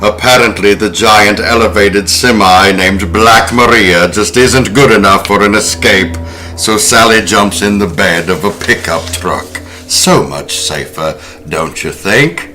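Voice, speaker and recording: A man's voice: on the low side, measured pace, sharp tone, faint music behind. A man hosting a horror film podcast, speaking in a wry, sardonic way. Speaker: podcast host. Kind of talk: horror film recap and casual discussion.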